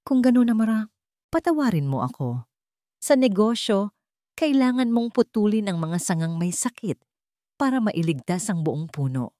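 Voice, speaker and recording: A woman's voice: mid-range voice, sing-song, moderate pace, warm tone, dry recording, clean studio sound, voice pushed up, natural voice. Woman voicing a cold, ruthless Filipino tycoon. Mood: cold, menacing. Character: ruthless older male tycoon, coldly justifying murder.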